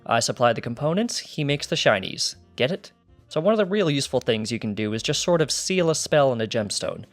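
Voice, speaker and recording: A man's voice: mid-range voice, big pitch swings, brisk, faint music behind. A man voicing a cocky, smug pony thief, boastful and teasing, in a fan fiction story reading. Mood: matter-of-fact. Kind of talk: fan fiction character dialogue.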